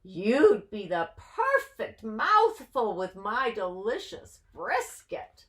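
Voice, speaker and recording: A woman voicing an eager, hungry female village cook in a storybook, calling out excitedly. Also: on the high side, slow, heavily acted.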